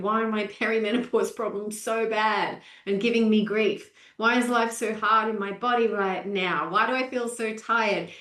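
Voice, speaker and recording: A woman's voice: medium pitch, a bit bright. Upbeat woman in her forties, roomy echo. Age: 40s. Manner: upbeat, greets viewers by name. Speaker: nutrition coach. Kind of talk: live-stream training.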